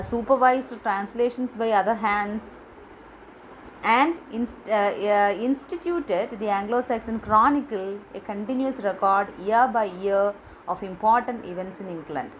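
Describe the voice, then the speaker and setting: A woman giving a literature lecture from her notes, pausing often with fillers and restarting words. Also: medium pitch, slight background noise, poor audio. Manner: halting, many fillers and restarts. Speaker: teacher.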